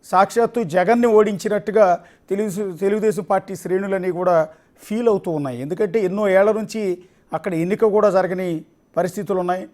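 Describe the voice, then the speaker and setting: High-pitched male news anchor. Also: brisk, darkish tone. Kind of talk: live election news presenting and commentary.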